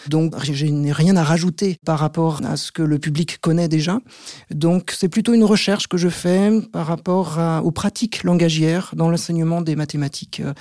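A man who teaches maths, answering interview questions in a measured, step-by-step way with frequent hesitant pauses. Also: on the high side, a little mellow, no echo, clean studio sound. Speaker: maths teacher, podcast guest.

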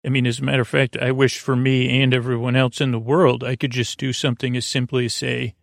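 A man with a creaky voice hosting a sleep podcast, rambling in a soothing, halting way and stammering over repeated words. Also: medium pitch, level tone, no echo, clean studio sound. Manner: soothing, lulling. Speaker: podcast host. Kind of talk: rambling bedtime monologue to lull listeners to sleep.

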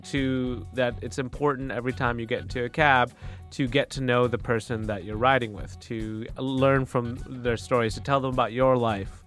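A man's voice: medium pitch, a bit bright. A young man hosting a podcast in a studio, chatting casually, laughing often and stumbling over words. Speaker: podcast host. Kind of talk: casual conversational interview.

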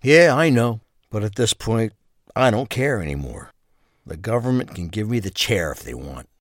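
A man's voice: lowish voice, expressive, normal speed, no room sound, clean studio sound, lightly acted. A man playing a bitter, resigned middle-aged killer in prison, in a crime audio drama. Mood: cold indifference. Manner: resigned, indifferent.

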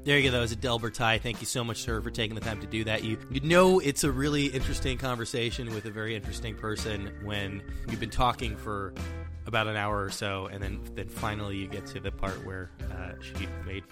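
A man's voice: fairly low pitch, steady tone, fast talker, few pauses. Chatty male podcast host over music. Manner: chatty, enthusiastic, repeats words as he goes. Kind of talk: solo podcast outro. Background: music playing.

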